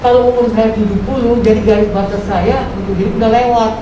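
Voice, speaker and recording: A woman's voice: a little high, fairly even intonation, fast talker. A woman preaches a sermon, exhorting with rhetorical questions and repeated phrases. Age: 70s. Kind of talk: sermon to a congregation. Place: large echoey room at a live church service. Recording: very echoey, distant, noisy live church recording.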